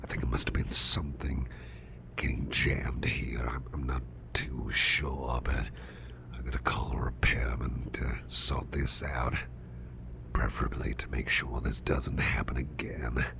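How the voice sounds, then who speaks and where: Casting: male actor as big grumpy boss for ASMR roleplay, extremely low gravelly murmur, halting and restrained, clear background noise. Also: little pitch movement, heavily acted. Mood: pondering, uneasy. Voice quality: very deep, gravelly, breathy near-whisper. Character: big, strong grumpy male boss trying to stay calm. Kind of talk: whispered ASMR roleplay, personal attention.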